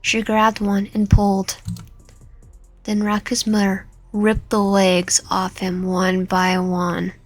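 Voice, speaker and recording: A woman's voice: mid-range voice, completely flat delivery, takes it slowly. A woman narrating a dramatic story read aloud, stumbling over and repeating some words as she reads. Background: background music.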